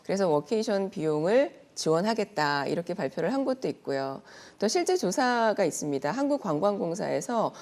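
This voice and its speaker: A woman's voice: medium pitch, sing-song, a little fast, hardly any pauses, a little mellow. A woman speaking as an expert guest in a news segment, explaining things politely and point by point in a prepared, organized way.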